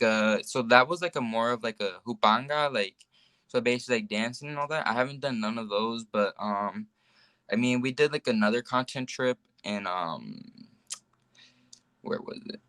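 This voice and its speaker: A man's voice: mid-range voice, fairly bright tone, smooth, dry recording. A teenage boy guest from the United States telling personal stories casually in a rambling way, saying "like" very often. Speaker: guest, young social media influencer.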